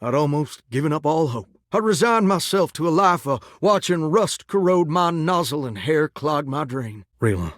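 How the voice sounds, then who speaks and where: A man's voice: on the high side, lively intonation, normal speed, few pauses, no echo, studio quality, close to an everyday voice. A man voicing a flirty, dramatic living shower who dreams of singing stardom, with a folksy, effusive Southern American accent, in a video game. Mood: wistful. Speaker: dateable character in a video game. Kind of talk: animated video game voice acting.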